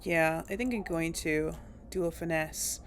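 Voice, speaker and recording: A woman casually commenting on her gameplay, thinking aloud with hesitant pauses and small chuckles. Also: low-pitched, a little hiss or hum. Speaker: let's play gamer.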